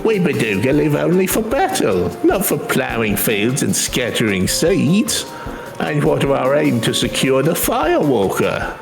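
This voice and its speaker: A man's voice: mid-range voice, wildly swooping pitch, a little slow, vocal fry, over music, voice pushed up, full character voice. A man voicing a proud steppe tribesman with stately, archaic phrasing in a game. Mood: proud, defiant.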